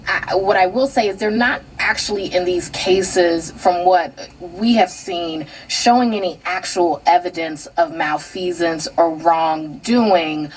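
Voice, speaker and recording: An American woman reporter giving live unscripted news analysis in a halting way, repeating words as she goes. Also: on the low side, a little hiss or hum. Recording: distant car radio picked up by camera.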